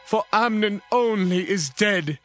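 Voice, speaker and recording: A man playing an earnest royal kinsman counseling his lord in a dramatized audio Bible, formal and deliberate. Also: high-pitched, soft background music. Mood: earnest reassurance.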